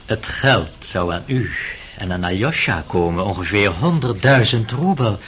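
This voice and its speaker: A man's voice: fairly low pitch, expressive, measured pace, a bit bright, a little hiss or hum. A man playing a sly, obsequious manservant with sinister cunning in a radio play, speaking in an insinuating manner. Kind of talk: Dutch radio play acting.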